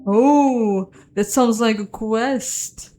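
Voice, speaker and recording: A woman's voice: on the high side, very slow, mellow, a little hiss or hum. A woman doing a game playthrough, mixing casual commentary with reading aloud from the game. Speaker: gameplay streamer reading all the parts.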